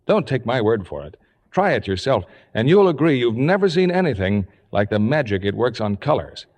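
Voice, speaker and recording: A man's voice: medium pitch, big pitch swings, moderate pace, a little mellow. A man announcing in scripted 1950s radio style, with a polished, emphatic sales delivery. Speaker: radio show host and announcer. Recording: echoey old radio studio stage.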